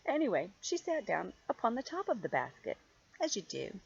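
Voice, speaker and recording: A woman's voice: medium pitch, huge pitch swings, moderate pace, creaky, husky, muffled recording. An older woman reads a storybook aloud like a grandmother, expressively and playfully, drawing out key words and adding chatty asides. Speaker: grandmother reading stories to children.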